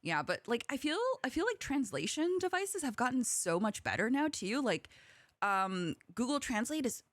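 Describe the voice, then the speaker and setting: A woman's voice: fairly high pitch, sing-song, dry recording. A woman who grew up speaking Korean, hosting a podcast in a chatty, giggly way. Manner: giggly, chatty, quick to laugh. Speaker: podcast host. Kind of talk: casual podcast chat.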